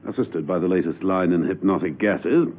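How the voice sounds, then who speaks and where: An actor playing a suave, unflappable British gentleman spy, urbane and wry, in a radio drama. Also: deep voice, moderate pace. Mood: wry, unruffled. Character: suave, unflappable English gentleman secret agent. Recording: dull old radio recording.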